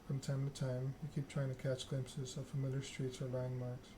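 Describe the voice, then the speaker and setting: A man streaming a game in soft ASMR style, reading story text aloud and chatting casually with viewers. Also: medium pitch, completely flat delivery, moderate pace, background noise. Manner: reads narrative text aloud, chats with viewers.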